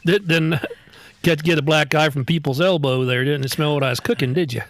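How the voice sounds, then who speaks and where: A man's voice: a little high, fairly even intonation, brisk, a bit bright, dry recording. A man co-hosting a podcast, teasing and wisecracking through casual banter. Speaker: podcast co-host.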